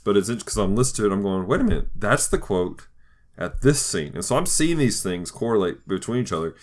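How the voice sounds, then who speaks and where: A man hosting a podcast in casual chat, enthusiastic, often halting as he searches for his words. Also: on the low side, expressive. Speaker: podcast host.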